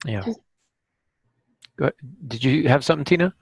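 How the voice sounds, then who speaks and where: A man hosting a podcast, giving casual writing advice in a halting way, as if thinking aloud. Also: mid-range voice, vocal fry, a little mellow, slightly rough, no echo. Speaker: podcast host and novelist. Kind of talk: casual instructional discussion.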